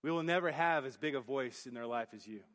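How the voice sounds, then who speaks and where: A youth pastor preaching a sermon in church, booming then dropping to quiet emphasis. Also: male voice, quick pace. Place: church sanctuary. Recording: long hall reverb. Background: hall reverb.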